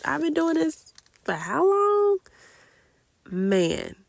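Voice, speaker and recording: A woman's voice: high-pitched, very animated, extremely slow, gravelly, dry recording. Woman, late 20s, congested, candid casual chat, dry close sound. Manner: chatty and candid, many fillers like "like" and "I don't know". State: congested, nasal from a cold. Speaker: podcast host.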